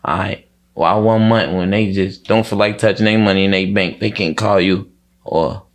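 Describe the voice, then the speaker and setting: A man's voice: sits low, steady tone, moderate pace. A man talking casually as an interview guest, in a rambling, repetitive, slangy way with frequent swearing.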